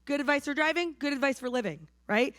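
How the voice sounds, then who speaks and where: A hoarse woman from New Hampshire preaching a sermon to her church in a conversational way, often checking in with the congregation. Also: high-pitched, expressive, fast talker, fairly bright tone, dry recording, studio quality. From New Hampshire, USA. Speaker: pastor.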